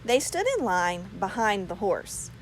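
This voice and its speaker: A woman reading a children's storybook aloud with expressive, deliberate phrasing. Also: mid-range voice, slight background noise. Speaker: storybook reader. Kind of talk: children's read-aloud narration.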